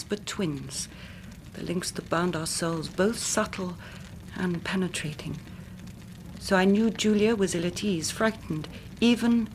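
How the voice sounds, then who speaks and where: An actress playing a grieving young English gentlewoman in a radio drama, speaking formally and precisely as a dramatic storyteller. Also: on the low side, slight background noise, low-quality recording. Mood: haunted, dramatic. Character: grieving young gentlewoman recounting her twin sister's death.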